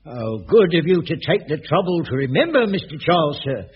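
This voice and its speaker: An actor playing a flustered, fawning caretaker in a radio sitcom, stammering with an English working-class accent. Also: male voice, a little high, very animated, fairly quick, a little hiss or hum. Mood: obsequious. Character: jobsworth male caretaker of shabby flats, flustered, obsequious. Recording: dull vintage radio recording, studio audience.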